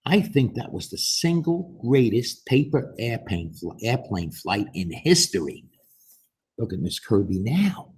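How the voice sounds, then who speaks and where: A man reading a storybook aloud to children in an animated way, putting questions to his listeners in a gently instructive manner. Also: mid-range voice, unhurried. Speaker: storybook reader and host.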